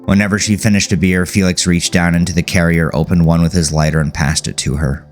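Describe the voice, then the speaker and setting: A man narrating dramatic horror fiction as a scripted audiobook, with a gravelly, creaky voice. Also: low voice, level tone, fairly quick, faint music behind.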